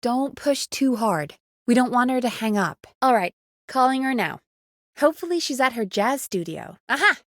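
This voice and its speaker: An actress plays a woman producing a radio show in a video game. Her voice sits high and is recorded close and dry. She is earnest and supportive, with a wry, easily exasperated edge to her natural, expressive delivery. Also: normal speed, no room sound, lightly acted. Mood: focused, businesslike. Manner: conversational, expressive, dryly exasperated.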